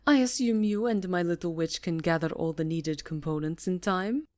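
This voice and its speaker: A woman's voice: lowish voice, normal speed, darkish tone, natural voice. A woman voicing a haughty, menacing vampire countess with a silky, imperious delivery in a fantasy roleplay. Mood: smug, gracious. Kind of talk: fantasy ASMR roleplay acting.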